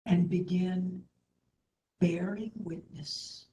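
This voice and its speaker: A woman instructor leading a guided meditation in a soothing, gentle manner, with hushed phrase endings. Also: fairly low pitch, steady tone, drawn-out words. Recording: roomy echo, faint background noise.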